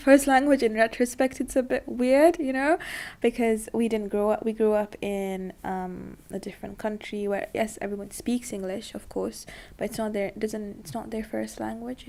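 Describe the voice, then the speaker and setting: A woman's voice: fairly high pitch, creaky, a little mellow. A young woman from Dubai, talking casually in an interview, full of likes and you-knows. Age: young adult.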